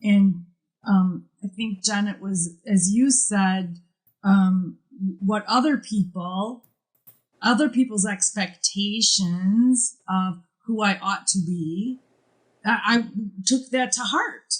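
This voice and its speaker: A woman's voice: mid-range voice, takes it slowly, poor audio. A middle-aged woman on a podcast panel, speaking reflectively and repeating her questions for emphasis. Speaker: podcast guest. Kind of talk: casual group chat.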